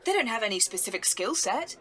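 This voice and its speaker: An envious, self-doubting British woman photographer in an audio drama. She sounds earnest and grumbling, with a fairly high, bright, tense voice. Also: sing-song, fast talker. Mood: indignant, envious.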